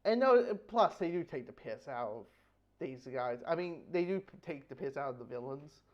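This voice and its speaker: A man's voice: a little high, lively intonation, normal speed. A man giving a casual spoken comic book review, rambling and repeating phrases as he goes. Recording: dull, lo-fi audio.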